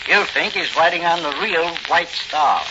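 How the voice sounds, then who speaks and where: An actor playing a ruthless, commanding racketeer boss with deliberate delivery in a 1940s radio drama. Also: male voice, fairly high pitch, animated, natural voice. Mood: smug, cold. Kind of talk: 1940s radio crime acting. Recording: muffled, noisy 1940s radio recording.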